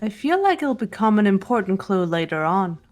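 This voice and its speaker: A woman voicing a cool, analytical teenage girl investigator in a mystery game, measured and matter-of-fact. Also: medium pitch, very animated, natural voice. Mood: calm, analytical. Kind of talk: amateur fan voice acting of a game script.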